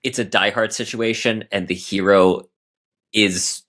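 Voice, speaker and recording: A man's voice: on the low side, steady tone, measured pace, a bit bright. A man on a podcast panel chatting casually about fiction, exclamatory and given to quoting his own reactions.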